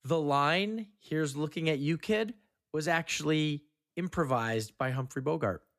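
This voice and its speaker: A man's voice: fairly high pitch, measured pace, fairly bright tone, no room sound, clean studio sound. A man hosting a casual, joking film discussion and reading out trivia. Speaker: podcast host reading trivia.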